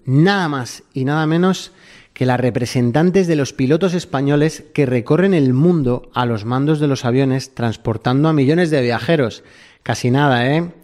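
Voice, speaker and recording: A man's voice: medium pitch, normal speed, a little mellow. A man from Spain hosting a radio travel show, jokey and laughing often as he chats with guests. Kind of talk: lively radio chat.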